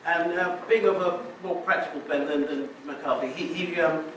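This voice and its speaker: A man's voice: on the high side, moderate pace, a bit bright. A man giving a technical conference talk, explaining step by step, with frequent restarts and fillers. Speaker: conference speaker. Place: lecture room. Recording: very echoey hall, distant mic, faint noise.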